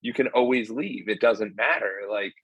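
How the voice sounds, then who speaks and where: A man's voice: fairly low pitch, moderate pace, breathy edge, no echo. A man hosting a podcast, introducing his guest and chatting in an upbeat, casual way. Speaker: podcast host. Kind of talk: podcast interview.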